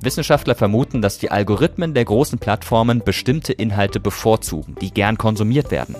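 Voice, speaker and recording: A man's voice: on the low side, expressive, brisk, a little mellow, soft background music. A man, hosting a podcast with scripted narration. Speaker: podcast host.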